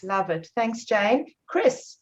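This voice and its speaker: Woman running a zoom meeting, brisk and upbeat, dull muffled sound. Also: mid-range voice, big pitch swings, slight background noise. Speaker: meeting host. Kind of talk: hosting a video meeting. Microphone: video call. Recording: dull lo-fi call audio.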